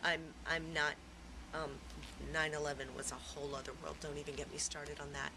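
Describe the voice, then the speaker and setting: A middle-aged woman giving a reflective, personal interview, speaking haltingly as she searches for her words. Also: low voice, flat delivery. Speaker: theatre performer being interviewed. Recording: clearly noisy room recording.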